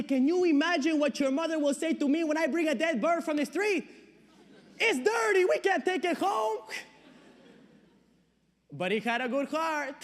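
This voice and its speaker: Middle-aged immigrant father, Latin American Spanish accent, high voice, broken English, nervously jovial, stage monologue, long hall reverb. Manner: broken English, frequent nervous chuckles, animated storytelling.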